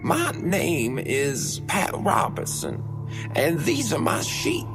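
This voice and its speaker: A man voicing an extremely old, eccentric cult elder in a comedic audio story, with a breathy, creaky, drawn-out and conspiratorial delivery. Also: a little high, wildly swooping pitch, pitched above the performer's own voice, full character voice. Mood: matter-of-fact. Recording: music bed under the voice. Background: music.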